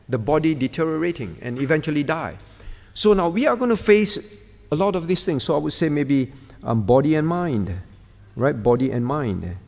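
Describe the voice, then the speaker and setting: A middle-aged man preaching like a teacher, emphatic, repeating key words and putting questions straight to his listeners. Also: on the high side. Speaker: pastor teaching a prayer meeting. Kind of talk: sermon delivered as a lesson, with questions to listeners. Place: meeting room with a projection screen, indoors. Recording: reverberant hall recording.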